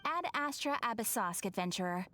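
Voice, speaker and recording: An actress playing a polite, formal young guild receptionist who gives welcoming explanations in a video game. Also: female voice, mid-range voice, animated, sharp tone, slight background noise. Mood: formal, encouraging. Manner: formal, courteous, measured explaining. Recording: clean voice over game music.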